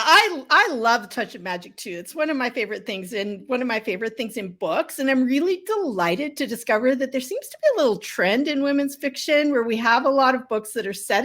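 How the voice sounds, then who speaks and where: High-voiced, upbeat woman author in conversation. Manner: excitable, upbeat. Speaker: author being interviewed. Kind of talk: casual storytelling chat.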